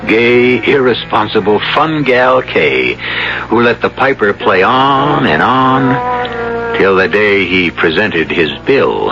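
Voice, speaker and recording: An actor playing an ominous, gravelly male storyteller narrating a theatrical radio mystery. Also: on the low side, animated, slow pace. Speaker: narrator, host. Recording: dull old radio broadcast with music. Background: music.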